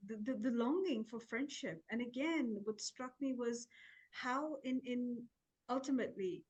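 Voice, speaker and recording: A woman hosting an interview, asking thoughtful, searching questions and often restarting her phrases. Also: a little high. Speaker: host. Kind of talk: interview conversation.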